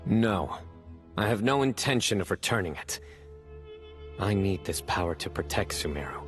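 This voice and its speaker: Low serious stoic young warrior, measured game dialogue, music behind. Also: male voice, expressive. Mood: resolute. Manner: grave, deliberate, formal. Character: stoic, resolute young male desert guardian with inner power. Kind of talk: video game voice acting, measured dramatic dialogue.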